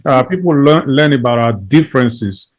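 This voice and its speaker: A man's voice: medium pitch, lively intonation, moderate pace. A man as an interview guest, speaking earnestly and emphatically, often repeating phrases and building lists. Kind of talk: earnest conversational advocacy.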